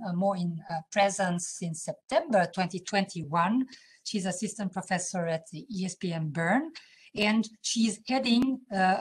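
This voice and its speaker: A woman hosting an academic colloquium who gives a formal introduction of the guest speaker. She hesitates often and fills her pauses with "uh". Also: mid-range voice, poor audio. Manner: hesitant, with many "uh" fillers.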